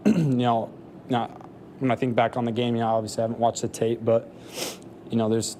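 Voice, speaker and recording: A young man in his twenties answering questions at a postgame press conference, soft-spoken with many fillers. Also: fairly low pitch, slight background noise. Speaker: football player at a press conference.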